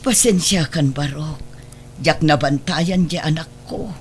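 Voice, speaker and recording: A woman's voice: low voice, a little slow, slight background noise. A woman acting in a radio drama as a worried, middle-aged mother who moralizes to her married daughter. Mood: anxious, apologetic.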